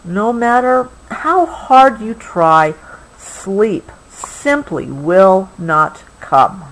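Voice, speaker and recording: A woman reads a picture book aloud to a child, gently adding explanations as she goes. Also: medium pitch, animated, extremely slow, slight background noise, low-quality recording. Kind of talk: read-aloud storybook with explanatory asides.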